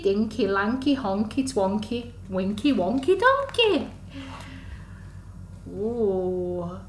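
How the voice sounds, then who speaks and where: Female children's storyteller, unhurried and sing-song, exaggerated delivery for under-fives, recorded in an echoey room. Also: on the high side, a bit bright. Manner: sing-song, exaggerated, asks the listeners questions. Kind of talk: animated storytime reading to young children. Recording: echoey room, faint background noise.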